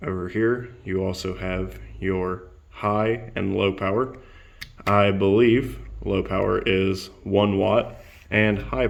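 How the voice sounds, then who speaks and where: Low, gravelly man demonstrating a product. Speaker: product reviewer.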